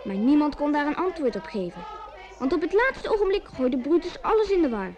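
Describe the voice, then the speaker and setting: An actress playing the narrator of a village tale in a radio play. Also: female voice, high voice, very animated, brisk, darkish tone. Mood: suspenseful, lively.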